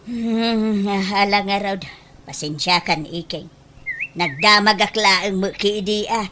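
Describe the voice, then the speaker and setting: A woman's voice: mid-range voice, unhurried, pushed and bright, a little hiss or hum. A woman playing a nosy older village auntie in an Ilocano comedy radio drama. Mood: apologetic.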